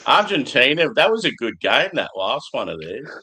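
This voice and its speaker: A man's voice: mid-range voice, sing-song, moderate pace, bright, forceful tone, slightly rough. A man in casual chat about beer and football, laid-back and blunt, swearing freely. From Australia. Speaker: beer reviewer.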